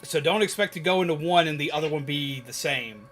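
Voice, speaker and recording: Guy streaming, higher pitched punchy bright voice, chatty and opinionated, music going behind him. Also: brisk. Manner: animated, emphatic, opinionated. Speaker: live streamer. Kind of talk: casual rambling chat with viewers while gaming.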